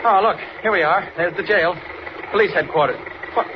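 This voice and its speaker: Guy playing eager excitable reporter hero, high voice, old noisy radio serial. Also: moderate pace, natural voice. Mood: urgent. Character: earnest, excitable young male reporter, secretly a superhero. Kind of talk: 1940s radio acting. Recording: dull, noisy 1940s radio recording.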